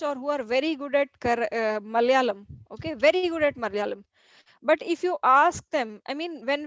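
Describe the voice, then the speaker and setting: High-voiced Indian woman teacher, lecturing. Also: dry recording. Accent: Indian English. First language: Hindi. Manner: explanatory, repeats and restarts phrases. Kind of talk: classroom lecture. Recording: dull lo-fi dry recording.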